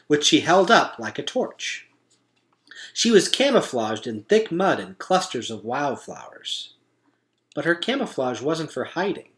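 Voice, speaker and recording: Bright-voiced man reading a storybook aloud. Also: a little high. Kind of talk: expressive storybook narration.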